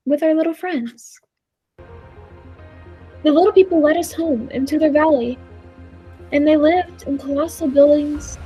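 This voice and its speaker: A woman playing a gentle, contented time traveler who tells the tale in a measured, wistful way, in 1940s radio play style. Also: sits high. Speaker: amateur drama club actor. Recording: roomy echo with faint music.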